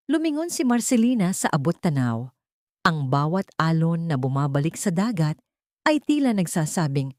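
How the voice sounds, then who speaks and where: A woman narrating a sentimental story from a script in a measured, solemn, poetic manner. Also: low voice, warm tone, no echo, studio quality.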